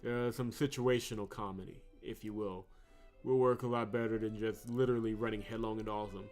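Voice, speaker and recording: A man's voice: fairly low pitch, steady tone, fairly quick, darkish tone. A man hosting a game playthrough, mixing commentary and narration read aloud with wry, teasing asides. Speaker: host of a visual novel playthrough channel. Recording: music behind the voice. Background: game music.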